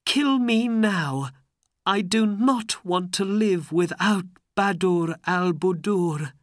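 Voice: mid-range voice, wildly swooping pitch, gravelly, a bit bright, slightly rough, no echo, clean studio sound, pitched above the performer's own voice, exaggerated voice. A narrator voicing an earnest, lovesick young male hero, pleading and desperate, in a storybook. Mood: despairing. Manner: pleading, drawn-out.

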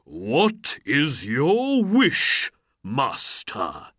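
A narrator as a slow, solemn, booming genie. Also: wildly swooping pitch, a bit bright, slightly rough, no room sound, clean studio sound, full character voice. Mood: obedient. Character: solemn, obedient male genie servant of magic.

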